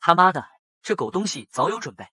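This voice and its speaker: A man's voice: high voice, big pitch swings, a little fast, bright, forceful tone, slightly rough, no echo, studio quality, heavily acted. A man voicing a defiant, hot-headed young working-class hero in an audio comic drama. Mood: indignant.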